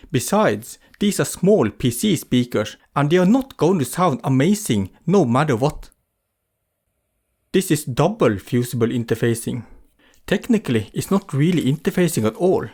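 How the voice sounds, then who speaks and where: A man's voice: fairly high pitch, darkish tone, husky. A man giving an explanatory voice-over for a craft tutorial. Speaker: craft vlogger narrating a tutorial.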